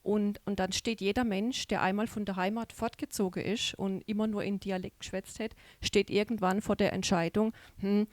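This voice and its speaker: A woman's voice: medium pitch, steady tone, moderate pace, hardly any pauses, darkish tone, no room sound. Woman, middle-aged, Alemannic Baden accent, interview guest, chatty, filler-heavy, dry close mic. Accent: Alemannic Baden German. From Baden, southwest Germany. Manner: chatty, many "ähm" fillers. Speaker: guest, dialect society chair. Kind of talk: relaxed interview chat.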